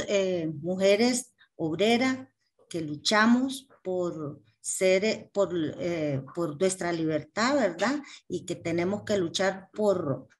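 A middle-aged woman speaks as an activist at an online meeting. Her delivery is slow and hesitant, with a medium pitch and frequent tag questions. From Nicaragua. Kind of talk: halting informal political speech. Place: small indoor office, on a video call.

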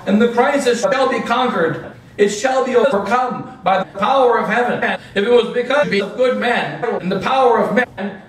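A middle-aged man preaching a sermon as a priest, emphatic, with pauses, in a small home chapel, recorded from a camera across the room. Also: high-pitched, a bit bright, a little hiss or hum. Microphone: far camera. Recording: echoey room, from far off.

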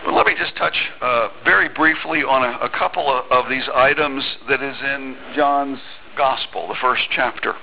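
A man's voice: medium pitch, moderate pace, gravelly, lo-fi sound, slight background noise. A middle-aged man preaching a sermon in a church on a headset mic, talking conversationally with small restarts and reading scripture aloud. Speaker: pastor. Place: church sanctuary.

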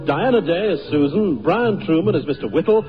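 A male radio announcer reads scripted closing credits with lively intonation. The old recording is muffled, with music playing behind him. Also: on the high side, brisk.

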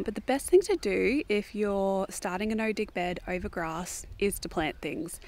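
A woman chatting casually as she walks through her garden, with fillers and some soft asides. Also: mid-range voice. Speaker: vlogger giving a garden tour. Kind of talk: casual walking garden tour. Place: outdoors in a home garden.

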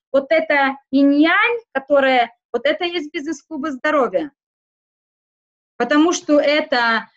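A woman whose first language is not Russian, speaking haltingly in a casual interview, with many fillers and restarts. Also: high voice, muffled recording. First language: not Russian; schooled outside Russian. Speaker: interview guest.

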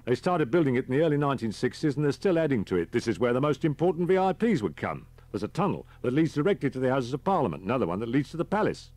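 A man voicing a brisk, deferential government driver, chatty and matter-of-fact, as audiobook dialogue. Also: mid-range voice, big pitch swings, darkish tone, voice pushed up, lightly acted. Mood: brisk explaining.

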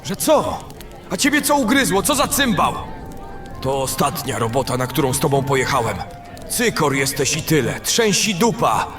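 A man's voice: on the high side, very animated, moderate pace, a bit bright, soft background music. A man playing a brash, greedy fantasy adventurer in an audio drama, talking in a coarse, boastful, grumbling way. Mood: indignant, boastful.

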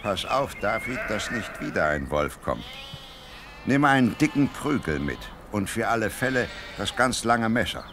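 Benevolent father of many sons, deep and expressive, for an audio drama. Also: moderate pace, a little hiss or hum. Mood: concerned. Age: middle-aged. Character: kindly, devout father of seven sons. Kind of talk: German audio drama acting.

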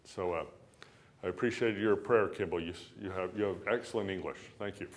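A man's voice: low-pitched, a little fast. An older man leading a university class in a classroom, talking casually and repeating words as he thinks aloud. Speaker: university instructor. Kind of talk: classroom lecture and discussion. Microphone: camera at the back of the room.

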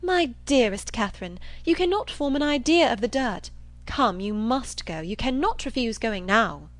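Woman as effusive young lady, theatrical, high, very animated, affectionate, coaxing, storybook character. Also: normal speed, no room sound, close to an everyday voice. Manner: effusive, exaggerated endearments.

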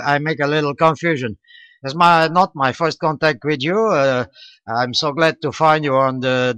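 Clear higher-pitched man with Portuguese accent. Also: fairly bright tone, no room sound. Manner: halting, non-native English with courteous sign-offs. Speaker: amateur radio operator. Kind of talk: casual radio contact chat.

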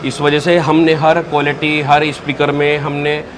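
A middle-aged man presenting his company's products at a busy expo booth, earnest and salesy, pausing often with fillers. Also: a little high, level tone, noisy background. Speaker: company representative. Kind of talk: product explanation in interview.

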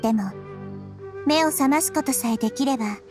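Child-like deity played by a woman, anime game: high-pitched, gentle, thoughtful, measured delivery, music behind. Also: close to an everyday voice. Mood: thoughtful, earnest. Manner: measured, soft-spoken, reflective. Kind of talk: Japanese anime game voice acting.

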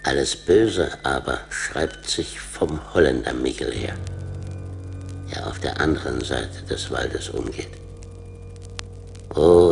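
An actor playing a solemn teller of forest legends in a 1980s radio play, deliberate and mysterious. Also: male voice, a bass voice, vocal fry, exaggerated voice.

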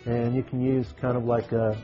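A man's voice: mid-range voice, flat delivery, moderate pace, dull audio, soft background music. Folksy man chef cooking on TV. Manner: folksy, talks while cooking with frequent fillers. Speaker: restaurant chef. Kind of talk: live TV cooking demo. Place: TV studio kitchen set. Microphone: lapel mic.

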